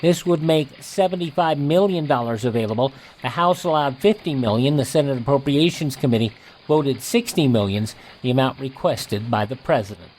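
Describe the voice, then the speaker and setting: A man with an American accent reading scripted news and show introductions as a radio host, in measured announcer phrasing. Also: mid-range voice, noisy background.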